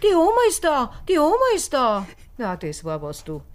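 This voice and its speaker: A woman's voice: a little high, huge pitch swings, normal speed. An actress with an Austrian accent playing a plain-spoken, worn rural mother in a wartime radio drama, colloquial in her telling. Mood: recounting.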